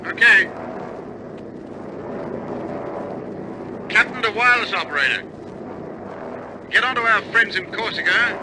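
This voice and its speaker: A man in an old radio drama playing a bomber captain, clipped and commanding, steady as he steers a crippled aircraft. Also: high-pitched, brisk. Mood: commanding, urgent. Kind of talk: 1950s radio drama acting. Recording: old radio recording, loud aircraft engine noise.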